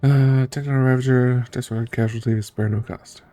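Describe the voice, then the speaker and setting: Male streamer, casual commentary, soft dark tone, vocal fry, wry musing, faint game music. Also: medium pitch, steady tone, fairly quick. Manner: musing, reading aloud, wry. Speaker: streamer playing and reacting to a story game.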